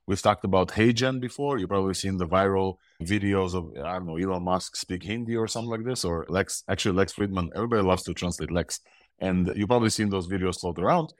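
A low-voiced man with a mixed Russian and Israeli accent hosts a tech talk show. He speaks with eager enthusiasm and rambles through restarts. The recording is close and dry. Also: quick pace, no room sound. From Ukraine. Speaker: podcast host. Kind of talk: casual tech news talk.

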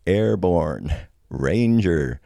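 A gravelly American drill sergeant acted out by a man telling a story calls a marching cadence. The words come slow and rhythmic in a low, louder-than-talk chant, with a dry sound. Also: lively intonation, no echo, exaggerated voice. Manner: drawn-out, rhythmic call-and-response chant.

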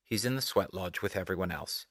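A man's voice: deep voice, a little fast, a bit bright, dry recording, clean studio sound. A man voicing a formal, seething holographic executive with a clipped, legalistic manner in an audiobook. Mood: insistent, formal.

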